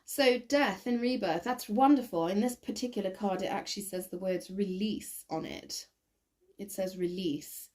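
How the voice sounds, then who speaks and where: A woman reads tarot to camera in a casual, thinking-aloud way. Her voice is a little croaky, as if still getting over an illness, and it sits at a middling pitch. She fills her pauses with ums and often restarts her sentences. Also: darkish tone. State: croaky, recovering from bronchitis. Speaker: tarot reader.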